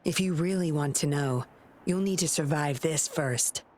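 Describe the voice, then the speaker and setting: An actress playing a cool, teasing woman agent with a secretive, measured manner in a video game. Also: deep voice, a little mellow, a little hiss or hum. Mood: probing.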